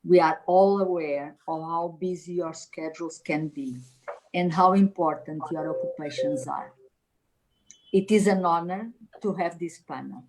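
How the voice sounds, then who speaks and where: A woman with a Portuguese accent opens an online event in a measured, formal tone, with a slightly low voice. She reads her thanks carefully and stumbles now and then. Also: noisy background. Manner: measured, formal delivery with occasional slips. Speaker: event host. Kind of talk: formal opening speech.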